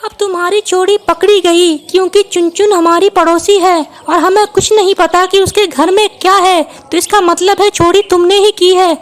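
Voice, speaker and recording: A woman's voice: very high-pitched, steady tone, normal speed, few pauses. A woman voicing a brave, clever, kind young female bird in a children's cartoon, speaking in a reassuring, decisive way. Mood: stern. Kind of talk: Hindi children's cartoon voice acting.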